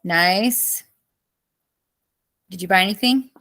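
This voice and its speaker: A woman's voice: mid-range voice, slow, pushed and bright. A woman in her thirties teaching a live online class, chatty and greeting students by name, with her voice cracking as she loses it.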